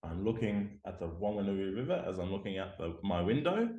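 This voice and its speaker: Deep-voiced Australian-born man, hesitant. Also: very animated, a little fast, mellow, reverberant, lo-fi sound, a little hiss or hum. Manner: hesitant, many ums, self-deprecating. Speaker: guest lecturer and scientist. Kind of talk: reflective interview talk. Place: home room by a window. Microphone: video call.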